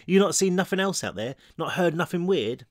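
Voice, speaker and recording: A man's voice: high-pitched, expressive, fairly quick, dry recording, clean studio sound. A man voicing a suspicious, edgy small boy inmate with blunt street slang in a story. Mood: spooked.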